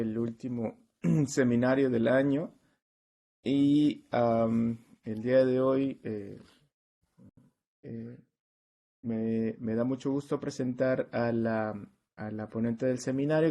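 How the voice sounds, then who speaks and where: Guy hosting a seminar, mellow soft-edged tone, kinda hesitant intro. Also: mid-range voice, steady tone, measured pace. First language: Spanish. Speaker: seminar host introducing a speaker. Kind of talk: semi-formal introduction.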